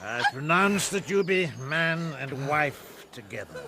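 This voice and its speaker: A disgraced, cowardly parson. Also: male voice, on the high side, big pitch swings, measured pace, pushed and bright, a little hiss or hum, heavily acted. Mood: intoning. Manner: nervous, pompous, intoning. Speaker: defrocked clergyman. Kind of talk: BBC radio drama acting.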